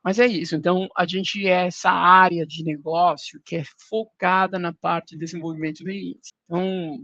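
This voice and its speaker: Guest: Colombian man speaking Portuguese as a second language, high-pitched and gravelly, dry muffled sound. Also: level tone, moderate pace, bright, forceful tone, no room sound. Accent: Colombian Spanish. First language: Spanish. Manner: explains with everyday comparisons, hesitant restarts. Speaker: podcast guest, index business executive. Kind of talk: casual explanatory talk.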